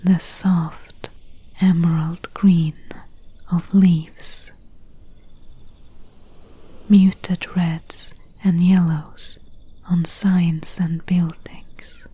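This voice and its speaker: A woman playing a gentle, solemn friend in an ASMR roleplay, speaking in a breathy, hushed whisper with deliberate pauses. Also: on the low side, little pitch movement, full character voice. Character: gentle, solemn friend warning of night spirits.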